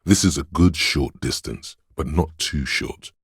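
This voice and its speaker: An extremely deep, husky inner voice of a detective's mind narrates a game in solemn, measured phrases. It is gravelly and very raspy, cerebral and hypnotic, recorded dry and close. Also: male voice, fairly bright tone, no room sound, exaggerated voice. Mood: analytical, deliberate. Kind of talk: dramatic game narration, second-person.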